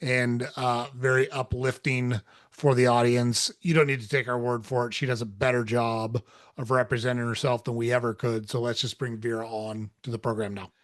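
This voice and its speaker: Male podcast host, mid-pitched voice, dry close sound, loose and chatty with plenty of fillers. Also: flat delivery, normal speed, dry recording. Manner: friendly, rambling, lots of fillers. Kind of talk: casual podcast interview.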